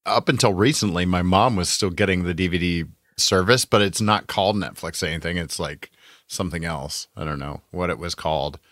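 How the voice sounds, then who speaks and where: A man's voice: low-pitched, brisk, a bit bright, no echo, studio quality. A man co-hosting a podcast in casual, jokey banter, tossing in quips as he goes. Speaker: podcast co-host.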